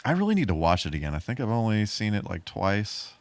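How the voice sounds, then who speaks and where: A man's voice: on the low side, big pitch swings, fast talker, dry recording. A man co-hosting a podcast in casual conversation, thinking aloud tentatively with false starts. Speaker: podcast co-host.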